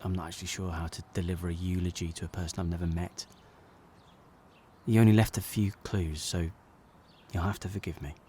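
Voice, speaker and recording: An actor playing a regretful, sincere jobbing actor, narrating an audio drama in a halting, plain-spoken way. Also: male voice, low-pitched, steady tone, mellow, a little hiss or hum, natural voice. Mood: solemn, halting. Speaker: actor narrating as lead character.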